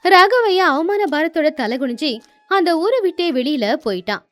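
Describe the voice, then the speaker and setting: High, animated woman telling a story. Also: normal speed. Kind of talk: scripted moral-story narration.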